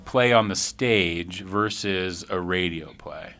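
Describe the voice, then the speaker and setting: A man's voice: low-pitched, slow, pushed and bright. A man hosting a radio show in a casual, conversational interview style.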